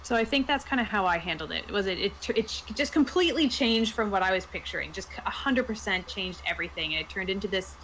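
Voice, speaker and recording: A woman with a medium-pitched voice shares stories as a game master on a panel. Her delivery is casual and conversational. Noticeable background noise sits behind her voice. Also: lively intonation, fast talker, hardly any pauses, a bit bright, poor audio. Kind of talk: casual anecdotal chat.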